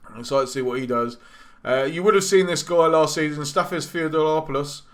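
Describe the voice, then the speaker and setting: A man giving casual, rambling commentary over a football management game, his voice going a little hoarse. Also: on the high side, fairly quick. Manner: chatty, rambling, with frequent ums and self-corrections. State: losing his voice. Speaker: gaming YouTuber.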